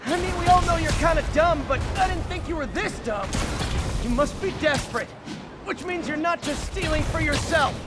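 A man's voice: very high-pitched, moderate pace, loud music behind the voice. An actor playing a wisecracking young male superhero in a game, joking and teasing as he talks. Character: wisecracking young male web-slinging hero.

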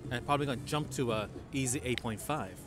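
A man's voice: medium pitch, fast. A middle-aged man chatting casually to camera as a food vlogger, laid-back and addressing viewers directly. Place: indoor sports bar restaurant. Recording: busy restaurant chatter.

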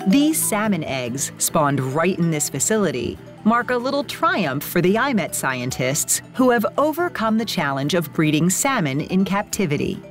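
Lively woman narrating a documentary. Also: fairly low pitch, moderate pace, few pauses. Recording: voice-over with music bed.

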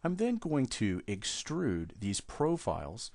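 A man explaining software steps one at a time in a screen-recorded tutorial, speaking at his computer. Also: mid-range voice, takes it slowly, vocal fry, dry recording. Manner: steady step-by-step explaining. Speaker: software trainer.

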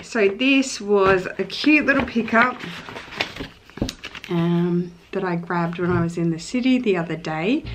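A woman's voice: on the low side, noisy background. A woman in her thirties with an Australian accent, chatting casually and gushingly to camera for a vlog at home. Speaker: vlogger. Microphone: camera at arm's length or on table.

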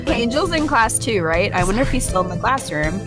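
Playful woman with lively, swooping delivery. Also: fairly high pitch, a little fast, music in the background. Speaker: gaming video co-host. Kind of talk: reading visual novel narration with casual commentary.

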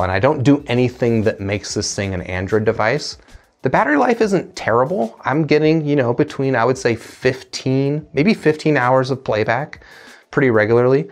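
A man in his thirties talking casually to camera as a gadget reviewer, explaining things conversationally into a lapel mic. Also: on the low side. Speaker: gadget reviewer, video host. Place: indoor studio room.

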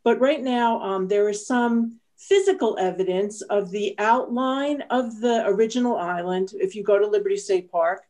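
A woman's voice: medium pitch, moderate pace, few pauses, a little mellow. A woman who works as a park interpreter gives an informal historical explanation on a video call. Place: indoors at home, video call. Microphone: video call microphone.

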